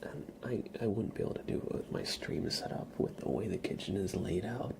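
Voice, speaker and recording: A man streaming ASMR, chatting casually to viewers in a soft, breathy whisper with a slightly stuffy nose. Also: fairly low pitch, fairly quick, noisy background. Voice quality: breathy whisper with a creaky edge. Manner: soft-spoken, rambling, casual.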